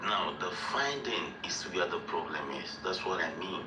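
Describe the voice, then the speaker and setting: Man in his forties, very low voice, deliberate and emphatic, roomy call audio with noise behind. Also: expressive. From Nigeria. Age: 40s. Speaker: call-in guest. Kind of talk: casual group discussion. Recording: roomy distant online call with background noise.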